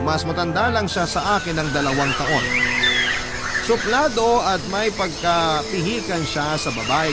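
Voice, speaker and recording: A man's voice: a little high, natural voice. A man with a Filipino accent playing an aggrieved adult letter writer, narrating a life story for radio drama. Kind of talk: scripted first-person radio drama narration.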